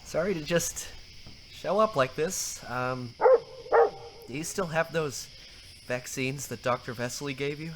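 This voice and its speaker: Low, halting teenage boy. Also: background noise. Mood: hesitant. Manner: halting, anxious. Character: nervous, hesitant teenage son.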